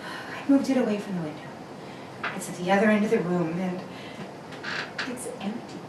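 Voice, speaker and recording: A woman's voice: mid-range voice, normal speed, natural voice. A woman playing a prim, skeptical, easily flustered schoolteacher in a 1940s-style suspense radio drama, with theatrical delivery. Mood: anxious. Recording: roomy, distant, faint background noise.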